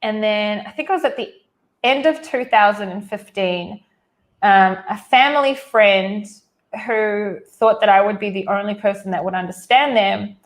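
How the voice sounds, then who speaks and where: A woman's voice: mid-range voice, moderate pace. Woman, 30s, New Zealand accent, interview guest, casual storytelling, chatty, restarts, laughs easily, video call.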